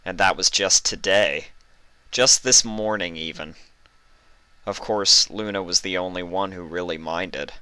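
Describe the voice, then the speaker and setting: A man reading stories as a host, with expressive narration into a close microphone. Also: low-pitched, unhurried, clear, resonant tone, no echo. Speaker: story reader and channel host. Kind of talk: expressive scripted storybook narration with casual introduction.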